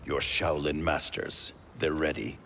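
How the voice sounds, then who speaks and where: An actor playing a gruff, distrustful warrior in a dramatic video game, terse and commanding. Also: male voice, rumbling low, steady tone, a little slow, heavy background noise. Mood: stern, suspicious. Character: gruff, distrustful male warrior guarding his temple. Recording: loud cinematic music behind.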